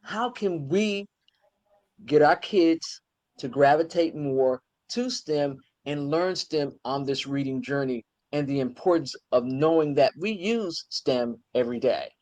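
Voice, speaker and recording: A woman's voice: deep voice, lively intonation, a little slow, darkish tone. An older woman hosting an interview, talking in an enthusiastic, conversational way. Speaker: host.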